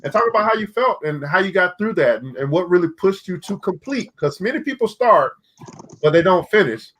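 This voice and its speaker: Male host, slightly higher voice, upbeat, casual, filler-heavy. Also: animated, fairly quick, fairly bright tone. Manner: upbeat, says "man" a lot, stumbles over words. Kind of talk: interview moderating.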